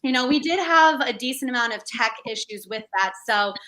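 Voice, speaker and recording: A woman's voice: a little high, brisk, poor audio. A young woman, a conference planner, chatting casually about running a virtual event, with frequent fillers. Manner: relaxed, conversational, frequent fillers. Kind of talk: casual chat.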